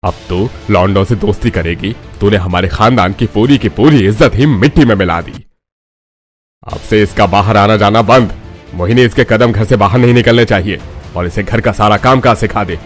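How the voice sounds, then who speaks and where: Man acting a deep, stern father. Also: normal speed, faint music behind, lightly acted. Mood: angry. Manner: commanding, scolding. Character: stern, angry, domineering middle-aged father. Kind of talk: Hindi cartoon family drama acting.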